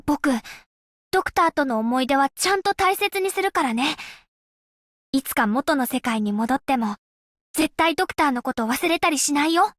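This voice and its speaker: High-pitched earnest little boy. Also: expressive, a little fast, fairly bright tone, no echo. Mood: earnest, affectionate. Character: cute, sincere little boy. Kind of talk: scripted game character voice line.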